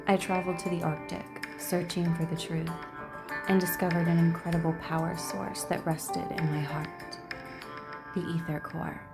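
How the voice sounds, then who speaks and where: A woman with a slightly low voice gives casual video game commentary. She is sassy and blunt, and reads dramatically when voicing on-screen text. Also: normal speed, gravelly, loud music behind the voice. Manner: sassy, blunt, swearing, expressive. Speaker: video creator reacting to a game.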